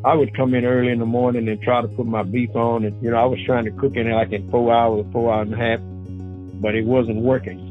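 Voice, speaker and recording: A man's voice: mid-range voice, a little fast. Man in his seventies, phone-like sound. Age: 70s. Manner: folksy, animated, loose Southern grammar. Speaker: barbecue pitmaster. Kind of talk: personal storytelling. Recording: phone-like narrow sound with faint music.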